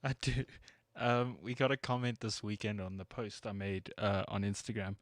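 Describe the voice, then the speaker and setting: A laid-back man co-hosting a sports show, easily amused by his partner's jokes. His voice sits on the low side. The recording is dry and close. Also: little pitch movement, moderate pace, no room sound. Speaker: co-host and producer. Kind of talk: casual radio chat.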